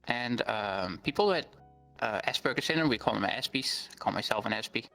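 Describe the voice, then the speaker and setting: A man whose first language is Dutch, a guest on an interview, explaining things earnestly with many pauses and ums. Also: on the low side, lively intonation, gravelly, bright, forceful tone, faint music behind. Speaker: guest streamer. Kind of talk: interview conversation.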